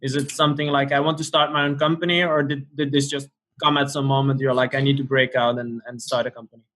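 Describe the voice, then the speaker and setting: A man interviewing in a casual chat, phrasing things haltingly and repeating words as he searches for them. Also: mid-range voice, flat delivery, a bit bright.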